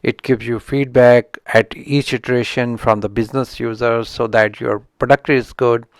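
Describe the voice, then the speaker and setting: Indian-accented man, smooth and dry. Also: medium pitch, fairly even intonation, no echo. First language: not English. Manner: halting, with frequent restarts and self-corrections. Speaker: channel host reviewing a book. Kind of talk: unscripted spoken book review.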